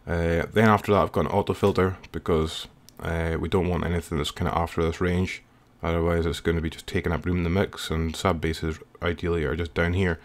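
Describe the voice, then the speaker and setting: A man giving a casual, informal tutorial as a music producer, explaining in a hesitant way. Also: sits low. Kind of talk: casual screen-recorded software tutorial. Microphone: voice-over recorded while working at computer.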